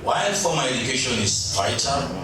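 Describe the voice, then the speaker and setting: A man's voice: mid-range voice, big pitch swings, brisk. A man representing an organization, giving an earnest, prepared formal speech at a conference. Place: conference hall. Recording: echoey hall from far off, crowd behind.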